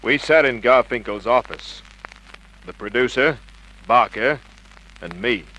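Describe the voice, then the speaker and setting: Cool, wry male investigator, mid-pitched, crisp forceful edge, old radio crime serial. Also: lively intonation, a little slow. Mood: cool, terse. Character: cool, wry private investigator who narrates his case. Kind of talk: hard-boiled radio narration and acting. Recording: noisy old radio drama recording.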